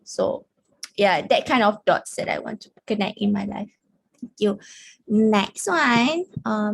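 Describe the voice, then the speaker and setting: A hoarse woman who is losing her voice, speaking earnestly as a workshop participant over a video call. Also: medium pitch, dry recording, poor audio. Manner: earnest, searching for words.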